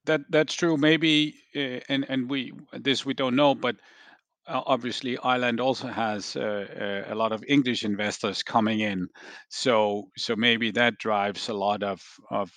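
A man from Denmark with a Danish accent explains research findings in discussion, haltingly, repeating words as he thinks. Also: medium pitch, fairly even intonation, a bit bright, no room sound. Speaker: research analyst, interviewee.

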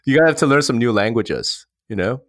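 A man's voice: mid-range voice, expressive, fast talker. A man co-hosting a podcast in a casual, slangy and joking way. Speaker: podcast co-host.

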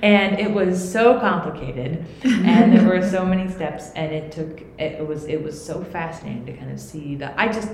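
Enthusiastic woman writer, low voice, echoey room w faint hiss. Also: normal speed, few pauses. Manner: enthusiastic, animated. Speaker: podcast writer on a panel. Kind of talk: panel discussion.

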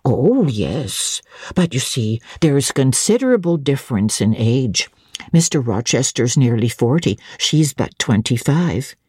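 A woman voicing an elderly, genteel housekeeper in a classic audiobook, chatty and admiring as she savours each detail in a measured way. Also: a bass voice, very animated, darkish tone, slightly rough, no room sound, clean studio sound, exaggerated voice. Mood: confiding, sceptical. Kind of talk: dramatic audiobook reading of classic literature.

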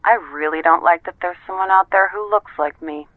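A woman's voice: on the low side, a little fast, no room sound. A woman playing a wry, efficient detective's assistant, clipped and matter-of-fact, heard through a telephone filter in an audio drama. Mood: guarded. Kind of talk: naturalistic audio drama dialogue. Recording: tinny, narrow phone line.

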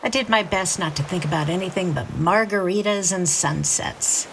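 Low-pitched woman a wry, wounded divorcee. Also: moderate pace, a bit bright, a little hiss or hum, low-quality recording. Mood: reflective, wry. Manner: candid, conversational storytelling. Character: fed-up divorced businesswoman, wounded, wry, breaking loose. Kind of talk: audio drama acting and confessional first-person narration.

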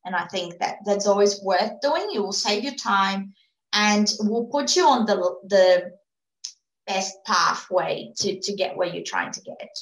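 A woman who runs a PR agency answers interview questions in a casual, earnest way, often restarting her sentences and filling pauses. English is her second language. Her voice sits in a middle range and bounces around an echoey room. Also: medium pitch, animated. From immigrant to Australia. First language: not English. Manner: earnest, frequent fillers and restarts. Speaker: PR agency owner, guest.

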